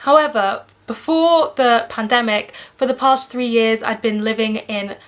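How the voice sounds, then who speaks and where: A young woman chats brightly to her camera in a relaxed, conversational vlog. Her voice sits a little on the high side, and she talks with an upbeat, slightly self-mocking ease. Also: a little slow. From Netherlands. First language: English. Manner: chatty, upbeat, lightly self-deprecating. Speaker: book vlogger. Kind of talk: casual vlog talk to camera.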